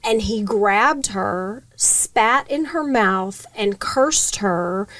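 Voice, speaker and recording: A woman's voice: medium pitch, extremely slow, no room sound, far from the mic, poor audio. Woman, podcast producer, chatty storyteller, dry close sound. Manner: storytelling, animated retelling. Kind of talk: casual panel conversation.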